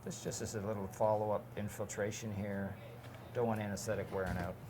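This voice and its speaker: A man working as a dentist who calmly explains each step of a procedure to his patient and the viewers as he works. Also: fairly low pitch, fairly quick, noisy background. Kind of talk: narrating a dental procedure.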